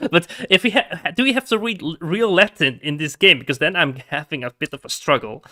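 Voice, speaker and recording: A man's voice: on the high side, a little fast, sharp tone. A man with a Dutch accent, chatting casually while streaming a game, giggly and hesitant with many ums. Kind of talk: casual gameplay commentary.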